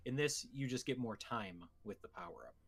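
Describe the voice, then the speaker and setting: Guy on a gaming show, medium pitch, just chatting casually with co-hosts. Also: expressive, brisk, gravelly, fairly bright tone, breathy edge. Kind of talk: casual chat.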